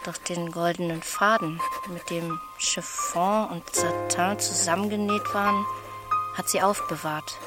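A woman's voice: lowish voice, fairly even intonation, a little slow, over loud music, natural voice. An actress playing an earnest young woman in a children's radio play. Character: earnest young woman in a postwar family tale. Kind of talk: children's radio drama acting.

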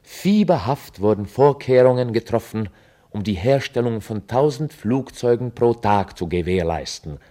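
An actor playing a sober chronicler, narrating a radio play in a formal, deliberate, deadpan way. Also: male voice, medium pitch, lightly acted. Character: sober male chronicler of an absurd future war.

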